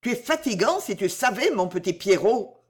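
A woman's voice: mid-range voice, big pitch swings, normal speed, husky. A woman voicing a troubled young man, curt, defensive and easily flustered, in a storybook reading. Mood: irritated.

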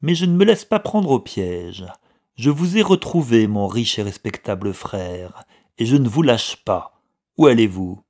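A male reader playing a scornful, grey-haired outcast from a storybook. The voice is husky and forceful, pushed up above plain narration, with a sardonic sneer and lasting bitterness. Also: fairly low pitch, measured pace. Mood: mocking. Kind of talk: audiobook character dialogue.

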